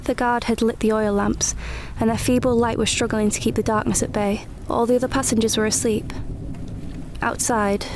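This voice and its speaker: An actress plays a guarded, capable young Edwardian woman telling her own story in a radio play. Her voice sits a little high, with a measured, literary, intimate delivery. Faint ambient noise runs behind her. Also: little pitch movement, natural voice. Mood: cosy, reflective. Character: young Edwardian woman, independent, wry, guarded preacher's daughter narrating. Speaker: narrator and lead actor. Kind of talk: scripted first-person radio play narration.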